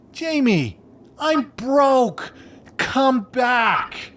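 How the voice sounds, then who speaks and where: An actor playing a dramatic, needy, exasperated geeky best friend in a game, with a theatrical, emphatic, rambling delivery. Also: male voice, a little high. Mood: overwrought, pleading.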